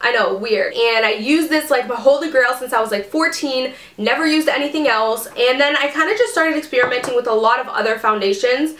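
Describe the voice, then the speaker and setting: A woman's voice: high voice, fairly quick, few pauses, a bit bright. Chatty young woman vlogger, echoey room. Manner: chatty, lots of fillers like "um" and "like". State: sick, ears plugged. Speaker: beauty vlogger. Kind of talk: casual chat to camera.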